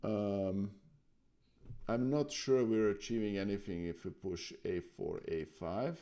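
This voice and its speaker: Serbian-native man, dark gravelly low voice, hesitant casual stream chat. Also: slow. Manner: thinking aloud, hesitant with fillers. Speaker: streamer learning chess. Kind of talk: casual chess analysis on stream.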